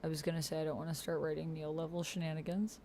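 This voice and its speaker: Woman host, lowish voice, casual chat and musing to herself, keyboard clatter and noise behind. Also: level tone, fast, dark tone. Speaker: host of an online text roleplay playthrough. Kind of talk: casual chat mixed with reading typed chat aloud. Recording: keyboard typing, clear background noise. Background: computer keyboard typing.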